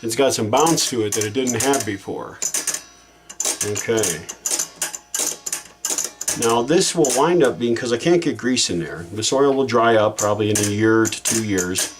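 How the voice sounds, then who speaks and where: A man's voice: mid-range voice, expressive, a little slow, long pauses, background noise. A man giving a casual hands-on repair demonstration, thinking aloud in an informal, folksy way as he works. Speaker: repair hobbyist hosting a how-to video.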